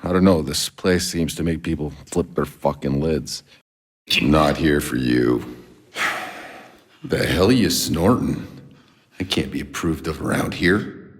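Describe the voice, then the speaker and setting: Game mercenary: extremely low, husky male voice, world-weary and sardonic, heard with long reverb. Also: unhurried, pauses often, exaggerated voice. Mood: weary, guarded. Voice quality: gravelly, husky. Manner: sardonic, gruff, laconic. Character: weary, cynical, foul-mouthed male sci-fi soldier mercenary. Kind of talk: video game voice acting.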